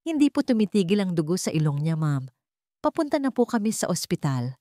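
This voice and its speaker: A woman's voice: fairly low pitch, very animated, a little fast, warm tone, no room sound. A panicked female school nurse, voiced by a woman storyteller. She speaks politely and urgently in radio-drama style, recorded close and dry. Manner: urgent, respectful.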